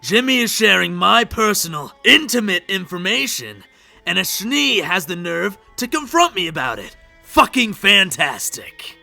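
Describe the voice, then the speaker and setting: A man playing a bitter, grieving, middle-aged hard-drinking huntsman in a fan comic dub, with a gravelly, raspy voice and a mocking, menacing drawl. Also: high voice, very animated, unhurried, bright, forceful tone, soft background music, heavily acted. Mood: sardonic.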